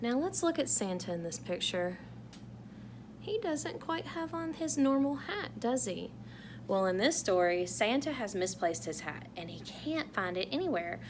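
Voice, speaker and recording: A woman reading a picture book aloud to young children in a playful, animated way, asking exaggerated wondering questions. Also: fairly high pitch, measured pace, noisy background. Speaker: children's read-aloud host.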